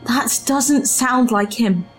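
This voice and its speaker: A woman's voice: a little high, slow, faint music behind. An actress in an audio drama playing an earnest, loyal woman from the distant past, formal, hesitant and thoughtful. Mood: troubled, doubtful. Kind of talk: full-cast audio drama acting.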